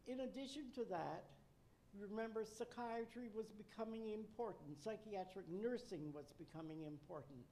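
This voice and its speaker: An older woman from Nova Scotia who is a retired nurse educator tells her career story in a measured, reflective way with wry asides. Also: mid-range voice, dark tone, a little hiss or hum. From Nova Scotia, Canada.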